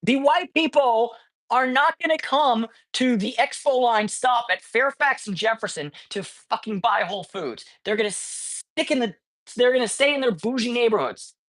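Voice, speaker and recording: A man's voice: very high voice, animated, normal speed, fairly bright tone. Guy ranting on a cat livestream, swears a lot, keeps restarting his sentences. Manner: ranting, profane, rambling with many restarts. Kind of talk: casual livestream chat. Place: cat colony.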